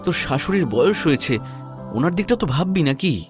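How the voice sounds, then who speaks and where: A man's voice: a little high, sing-song, a little fast, voice pushed up. A man performs a concerned young male friend in an audio drama. He sounds flustered yet reassuring, stumbling over repeated words with urgency. Faint music plays behind. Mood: worried, consoling. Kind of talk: audio story acting.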